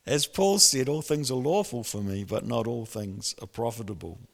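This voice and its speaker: Gravelly preacher with a lively voice. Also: male voice, mid-range voice, moderate pace. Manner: animated storytelling with bursts of laughter. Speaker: pastor. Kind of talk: sermon. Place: church hall. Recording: long church reverb.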